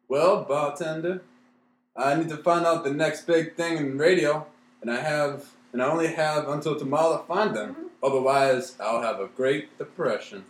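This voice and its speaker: Highish, flustered 1930s businessman, acted by a man. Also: moderate pace, natural voice. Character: anxious, desperate 1930s radio talent-seeking businessman. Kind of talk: old-time radio comedy acting. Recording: roomy, echoing room sound.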